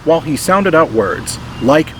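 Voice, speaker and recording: Male narrator for children's storybook, highish voice, very animated; clear background noise. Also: measured pace, low-quality recording. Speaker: storybook reader.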